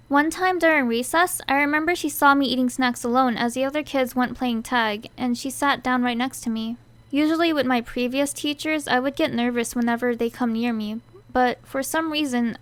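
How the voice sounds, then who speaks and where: A woman's voice: sits high, fairly bright tone, no room sound. A young woman from the United States, whose first language is Thai, narrating her own story in a chatty, self-deprecating way. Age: young adult.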